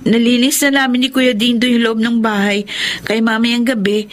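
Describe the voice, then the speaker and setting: A woman's voice: on the high side, little pitch movement, moderate pace, lightly acted. An actress playing a frightened, plaintive young girl who survived a flood, in radio drama style. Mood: subdued.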